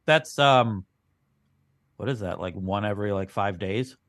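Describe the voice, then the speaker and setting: A man's voice: fairly low pitch, a little slow, fairly bright tone, no echo. A man co-hosting a comedy podcast as the straight man, asking skeptical questions with hesitant, dry reactions. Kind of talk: casual comic banter, straight-man questioning.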